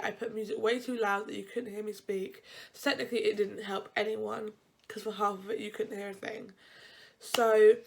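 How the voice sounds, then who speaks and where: Young woman student vlogger, stuffy nose, loose chatty delivery that wanders and restarts mid-sentence. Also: mid-range voice. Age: young adult. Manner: rambling, chatty, frequent self-corrections. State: blocked-up nose. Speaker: university vlogger. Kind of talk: casual advice vlog.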